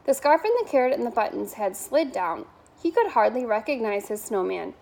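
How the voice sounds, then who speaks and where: Animated, high-voiced woman reading a storybook. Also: normal speed, gravelly, low-quality recording. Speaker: school staff member reading a bedtime story. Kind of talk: storybook read-aloud for children.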